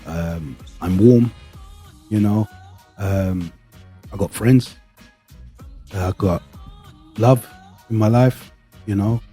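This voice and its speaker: A male podcast host who grew up speaking Greek first. His voice is dark and rough-edged at a middle pitch. He talks casually and openly, pausing to find his words, with faint music behind him. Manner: halting, candid, searching for words. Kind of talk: casual panel chat.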